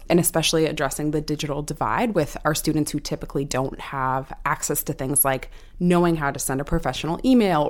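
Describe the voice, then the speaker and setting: A woman with a very low voice answers interview questions about her program's work. She speaks slowly and thoughtfully, pausing on fillers as she goes. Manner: slow, thoughtful explanation with fillers. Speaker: program staff.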